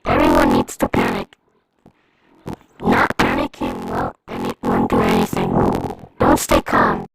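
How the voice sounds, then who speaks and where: A woman voicing an ominous emergency alert announcer, her voice pitched drastically down by an effect. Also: completely flat delivery, slow pace, gravelly, soft background music, full character voice, poor audio. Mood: ominous, commanding. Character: deep monstrous distorted emergency alert announcer.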